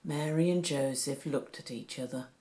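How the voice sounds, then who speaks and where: A woman reading a Bible story aloud for children, with a deliberate, animated storytelling delivery. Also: deep voice.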